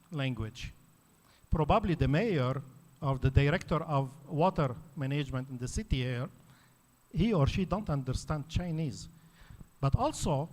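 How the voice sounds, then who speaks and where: A man's voice: fairly high pitch, a little mellow. Lebanese-born man lecturing in a reverberant hall. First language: Arabic. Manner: explanatory, with frequent fillers and restarts. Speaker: conference speaker. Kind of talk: academic panel talk.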